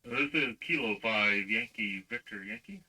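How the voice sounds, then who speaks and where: A man's voice: fairly low pitch, measured pace, pushed and bright, slightly rough, recorded from a distance, poor audio. A man working as a ham radio operator in a two-way exchange, heard as a radio recording played back through a speaker.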